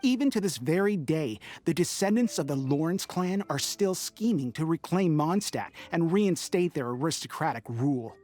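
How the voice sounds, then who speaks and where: A man's voice: fairly high pitch, lively intonation, normal speed, few pauses, darkish tone. A man voicing a blunt, exasperated townsperson in a video game, with an indignant manner.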